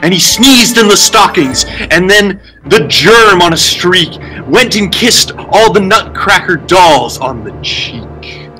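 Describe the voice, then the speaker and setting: A man's voice: sits high, expressive, slow, sharp tone, faint music behind, low-quality recording. A man reading a rhyming comic story aloud as narrator. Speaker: story reader.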